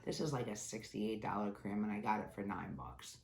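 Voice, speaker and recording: Woman, casual beauty unboxing chat, nasal from a cold, gravelly edge, echoey room, faint hiss. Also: rumbling low, brisk, darkish tone. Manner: chatty, candid, grumbles about packaging. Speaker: beauty vlogger.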